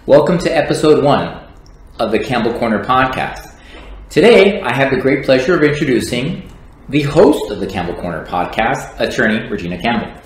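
A man's voice: medium pitch, very animated, moderate pace. Wry man interviewer in an echoey room. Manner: wry, teasing asides. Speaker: litigation manager, interviewer. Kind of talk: scripted questions, joking chat. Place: office lounge with large windows. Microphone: tabletop condenser mic.

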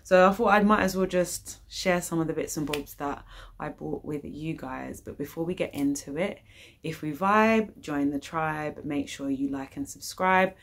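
A woman's voice: deep voice, moderate pace. A young adult woman with a British accent, chatting casually and informally as she hosts her vlog. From United Kingdom. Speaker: YouTube channel host. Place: living room, indoors.

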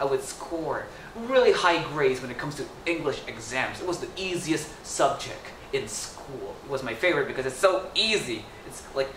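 A teenage boy, Indonesian his first language, tells a story with lots of rise and fall in his voice. He sounds roomy and a little distant from the mic, with faint noise underneath. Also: medium pitch. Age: teens. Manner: animated, gesturing, playful asides. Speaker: student storyteller. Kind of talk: storytelling speech to camera. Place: small indoor room with wallpaper.

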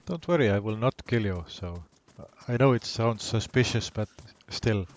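A man's voice: on the low side, animated, moderate pace, a little hiss or hum. A man, chatting casually and a little hesitantly with a stranger during an online game. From Estonia. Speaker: gamer.

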